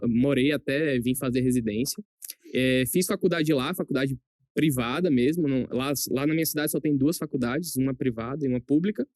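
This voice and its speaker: A man's voice: a little high, no room sound. Chatty young Brazilian man, dry mic. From Brazil, born in Salvador, raised in Aracaju. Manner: relaxed, chatty, laughs easily. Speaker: surgery resident, podcast guest. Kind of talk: casual podcast interview.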